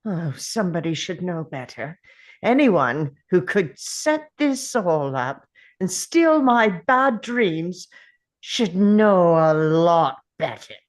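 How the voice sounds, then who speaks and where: Woman acting as a theatrical, slow, swooping time traveller. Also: on the low side, animated. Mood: probing, defiant. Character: ancient male time traveller, brooding, defiant, sardonic showman. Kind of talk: dramatic script table read.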